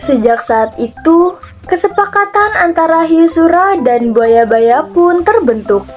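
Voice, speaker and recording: A woman's voice: very high voice, animated, measured pace, darkish tone, soft background music. A young woman reading a scripted children's fable as narrator. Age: young adult. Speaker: student storyteller.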